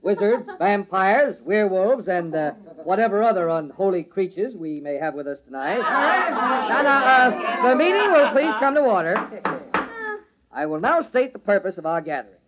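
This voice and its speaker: An actor playing a jovial party host with a secret lover, in theatrical old-time radio style. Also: male voice, high-pitched, big pitch swings, moderate pace, a loud crowd. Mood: mock-grand, playful. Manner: theatrical mock-pompous speechmaking, hushed urgency in private. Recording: dull old radio recording, organ music behind.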